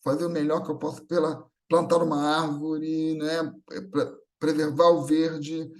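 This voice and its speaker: A man's voice: a little high, little pitch movement, measured pace. A Brazilian man giving an extemporaneous religious talk in an earnest, reassuring manner, often building through repeated phrases. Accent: Brazilian Portuguese. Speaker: guest speaker at a Spiritist gathering.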